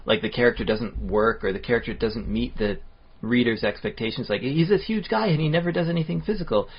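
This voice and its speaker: A man's voice: mid-range voice, expressive, fast talker, husky. A man co-hosting a podcast, rambling through his points in a casual chat. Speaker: podcast co-host. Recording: dull lo-fi podcast audio.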